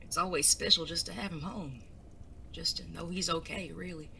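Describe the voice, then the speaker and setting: Woman playing earnest, formal bandit son, bright voice. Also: lowish voice, fairly even intonation, normal speed, creaky, a little hiss or hum. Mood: anxious, earnest. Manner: polite, formal, hesitant wording. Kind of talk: audio drama acting.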